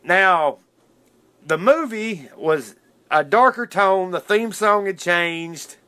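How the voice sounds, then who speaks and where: A man's voice: high-pitched, lively intonation, slow pace, bright, forceful tone, smooth. A man hosting a solo podcast, talking casually in an informal, loosely worded enthusiast's way. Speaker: podcast host. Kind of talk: casual solo podcast talk, retelling cartoon history.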